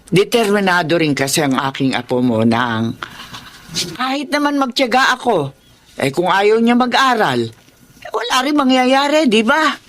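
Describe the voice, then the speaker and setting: A woman's voice: medium pitch, big pitch swings, moderate pace, lightly acted. An actress playing a proud, devoted elderly grandmother in a homely, conversational radio drama style. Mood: proud, chatty. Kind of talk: Filipino radio drama acting.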